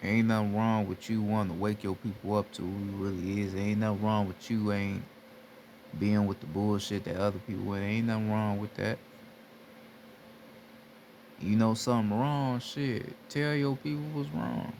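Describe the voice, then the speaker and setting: A man's voice: lowish voice, flat delivery, moderate pace, a little mellow, slight background noise. A man giving a casual spiritual talk, blunt and repetitive, swearing freely as he urges listeners to wake up. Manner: blunt, repetitive, heavy profanity. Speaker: spiritual teacher. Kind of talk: casual motivational monologue.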